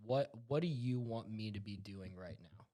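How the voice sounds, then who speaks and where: A man's voice: on the low side, moderate pace, warm tone. A man chatting casually about faith, searching for words and peppering his sentences with "like".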